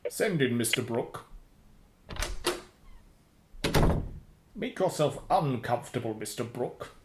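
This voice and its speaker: An actor in a radio play voicing a menacing, sardonic boss of a shady firm, clipped and commanding. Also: male voice, medium pitch, animated, moderate pace, roomy sound, very noisy, close to an everyday voice. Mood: sardonic scorn.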